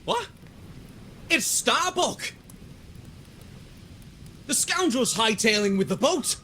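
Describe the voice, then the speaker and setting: A man voicing an earnest ship's mate in a video game, with an expressive, slightly formal delivery. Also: very high-pitched, slow pace, slight background noise, heavily acted. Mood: alarmed. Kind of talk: video game character dialogue.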